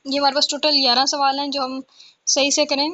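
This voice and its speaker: High-pitched, flat-toned woman tutor. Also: brisk, muffled recording, background noise. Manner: repetitive, asks herself questions before answering. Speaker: law exam tutor. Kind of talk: informal exam-prep lesson, reading out a list.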